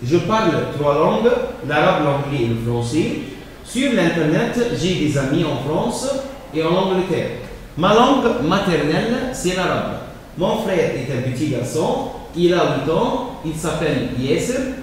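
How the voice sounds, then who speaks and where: Slow, echoey male teacher. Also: fairly high pitch, big pitch swings, a little hiss or hum. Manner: hesitant, repeats words and fills pauses with euh. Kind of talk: slow classroom explanation of French exercises. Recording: echoey room, voice from far off.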